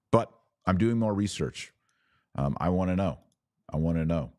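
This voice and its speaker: Male religious podcast host, extremely low voice, emphatic and earnest delivery, very dry sound. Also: a little mellow, no echo. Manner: earnest, emphatic, repeats phrases for stress. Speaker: podcast host answering live viewer comments. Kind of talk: live religious commentary.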